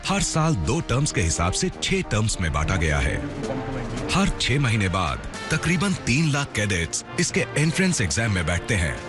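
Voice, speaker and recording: A man's voice: on the low side, wildly swooping pitch, moderate pace. A man reading scripted documentary narration as the narrator. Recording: loud music under the voice. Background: loud background music.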